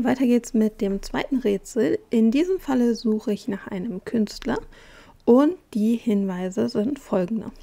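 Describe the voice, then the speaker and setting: A woman presents an art quiz in voice-over, walking through each clue in a calm, explanatory manner. Her voice is mellow and dark-toned, sitting at a middle pitch. She pauses now and then to find her words. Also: vocal fry.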